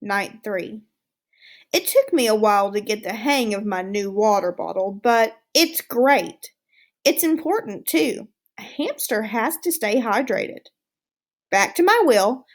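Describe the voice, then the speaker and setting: Woman voicing an animated, smug little hamster. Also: medium pitch, unhurried. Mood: proud, eager. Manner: boastful, self-satisfied, exclamatory. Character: smug, excitable, easily disgusted male pet hamster. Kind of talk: storybook read-aloud, diary narration.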